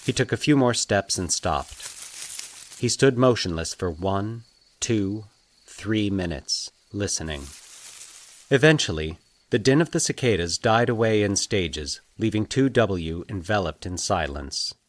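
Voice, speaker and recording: Fiction podcast narrator: man with a fairly deep voice, measured and dramatic delivery of scripted prose. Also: expressive.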